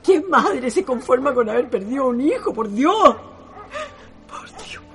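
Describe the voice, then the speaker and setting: A woman's voice: high-pitched, expressive, a little slow, fairly bright tone. A woman playing a compassionate, distraught city lady in a melodramatic radio play, exclamatory, with a Chilean accent. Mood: indignant disbelief. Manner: emotional, exclamatory. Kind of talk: melodramatic radio drama acting.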